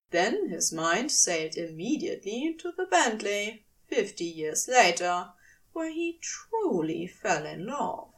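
A woman reading a story aloud as expressive scripted narration, dramatic and deliberate with pauses for emphasis. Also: mid-range voice, recorded from a distance. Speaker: fan fiction audiobook reader.

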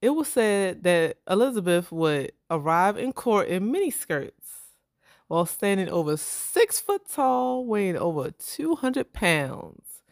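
A woman's voice: mid-range voice, sing-song, unhurried, no room sound, studio quality. A woman, telling a true-crime story to her co-host in a loose, chatty way and calling her listeners "y'all". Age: 30s. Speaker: podcast co-host. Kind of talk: casual true-crime podcast chat.

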